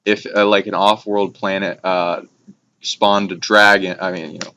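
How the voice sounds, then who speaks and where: Low monotone man rambling, lo-fi. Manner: halting, trailing phrases with abstract jargon. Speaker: speaker on esoteric topics. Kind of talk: casual rambling spiritual discussion. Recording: dull lo-fi recording with clear background noise.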